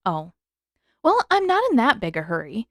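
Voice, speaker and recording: A woman's voice: fairly high pitch, wildly swooping pitch, normal speed, fairly bright tone, dry recording, studio quality. A woman voicing an eager, easygoing young boy hero in a casual, chatty manner. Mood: cheerful, eager.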